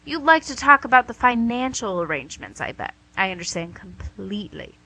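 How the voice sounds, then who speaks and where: Devil for radio comedy, played by a woman: bright, forceful voice, smug salesmanship, teasing rhetorical questions. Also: mid-range voice, big pitch swings, fairly quick, breathy edge, full character voice, low-quality recording. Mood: chatty, persuasive. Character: the Devil, wheeling-dealing, smug, teasing tempter. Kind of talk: radio play comedy acting.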